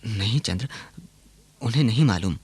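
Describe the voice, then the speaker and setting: A man's voice: on the low side, animated, normal speed, darkish tone, slightly rough, no room sound. An actor playing a sorrowful, wronged young man who confides in earnest, formal Hindi diction in a radio play. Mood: sorrowful, confiding.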